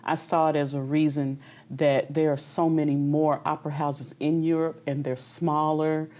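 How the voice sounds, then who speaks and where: A woman's voice: low voice, little pitch movement, moderate pace, darkish tone. A young woman opera singer from Kentucky, talking candidly and easily about her career in an interview. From Kentucky, USA. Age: young adult. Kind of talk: conversational interview.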